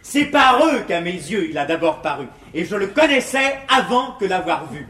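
An actor playing an earnest, exasperated young gentleman in a classical verse comedy, declaiming formally in 1950s stage style. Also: sits high, sing-song, normal speed, exaggerated voice. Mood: exasperated, mocking. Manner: declamatory, formal, emphatic delivery of rhymed verse. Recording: echoey, hissy 1950s theatre recording.

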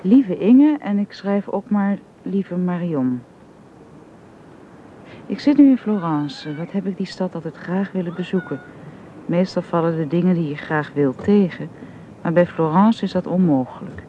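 An actress playing a caring, devoted woman who minds a child in a radio drama, with a gentle, encouraging manner. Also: lowish voice, expressive, moderate pace, lightly acted. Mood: affectionate reading. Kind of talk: naturalistic radio drama acting. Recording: muffled, noisy old radio recording.

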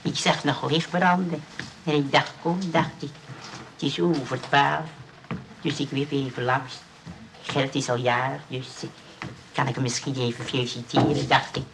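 Radio play role for a male actor: very old grandpa, ex-burglar, creaky and hesitant, courteous and wistful, faint noise behind. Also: mid-range voice, fairly even intonation, normal speed, close to an everyday voice. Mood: polite, eager. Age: older. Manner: hesitant, repetitive, folksy and courteous.

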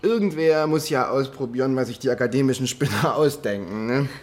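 A man's voice: medium pitch, brisk, close to an everyday voice. An actor in a German radio drama playing a chatty, plain-spoken, wry male police detective with a breezy, explanatory manner.

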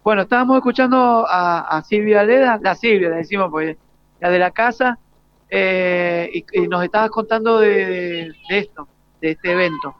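A man with an Argentine accent asking halting, informal questions as a live radio interviewer. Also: high voice, clean tone, dry recording. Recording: dry, dull lo-fi broadcast.

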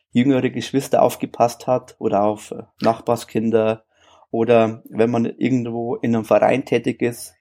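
A man's voice: medium pitch, mellow. A man in his thirties from Bavaria with a Bavarian accent, a guest in a casual interview, chatting easily with frequent filler sounds. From Bavaria, Germany. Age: 30s.

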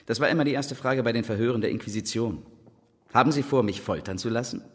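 A man's voice: medium pitch, quick pace, husky, close to an everyday voice. A man playing a wry, slightly awkward young journalist in a naturalistic radio drama, with a dry, ironic manner. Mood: ironic, guarded.

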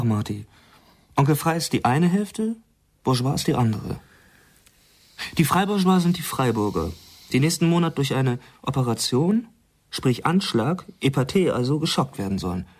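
A clever intelligence agent in a radio drama. His husky voice swings in a lively way as he explains with smug ease. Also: medium pitch, normal speed. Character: clever smart-aleck male intelligence agent, smug puzzle solver. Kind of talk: German radio play acting.

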